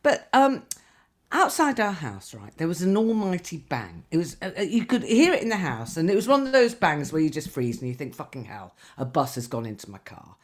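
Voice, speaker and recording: A woman's voice: sits low, very animated, brisk. A woman, a podcast co-host telling rambling, sweary anecdotes in casual chat, her nose slightly stuffy.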